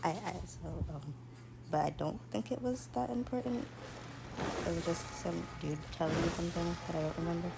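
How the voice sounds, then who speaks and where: A young woman livestreaming a game, with a slightly low, crackly voice. She chats in a casual, hesitant, rambling way over loud music. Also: fairly quick, gravelly. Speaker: game streamer. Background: loud game music and dialogue.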